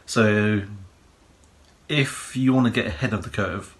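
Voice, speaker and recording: Croaky guy, lowish voice, rambling on w/ lots of "you know", casual prepping channel chat. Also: unhurried. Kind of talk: casual advice monologue.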